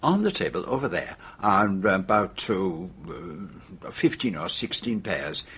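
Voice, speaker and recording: A man's voice: sits low, sing-song, slow, creaky, fairly bright tone, slightly rough, heavily acted. An actor playing an older male detective in a radio drama, formal and courteous, with a French-speaking European accent and polite hesitations. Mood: courteous, coaxing. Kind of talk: BBC radio drama acting.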